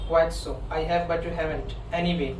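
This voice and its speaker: A man's voice: fairly high pitch, fairly even intonation, brisk, close to an everyday voice. A man playing a stubborn, dismissive husband in a student readers' theatre reading, read a little stiffly. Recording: noisy, echoey room, distant mic.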